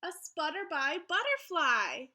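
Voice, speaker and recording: A woman teacher reading aloud to young children in an encouraging, expressive storybook manner. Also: high-pitched, slow pace. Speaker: elementary school teacher. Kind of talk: children's read-aloud lesson.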